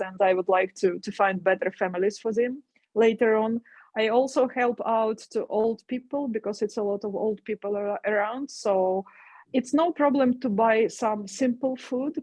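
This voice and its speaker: A woman's voice: mid-range voice, a little mellow, dry recording. A Ukrainian woman whose first language is Russian, answering interview questions earnestly and sometimes searching for words in English. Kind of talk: remote interview.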